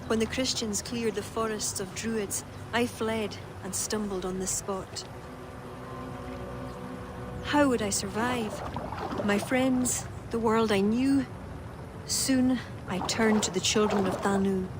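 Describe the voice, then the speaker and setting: Woman playing solemn regretful druid lady, game cutscene, earnest measured talk, ambient noise behind. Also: mid-range voice, steady tone, natural voice. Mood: wistful confession. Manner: earnest, measured, lyrical phrasing. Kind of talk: dramatic video game acting.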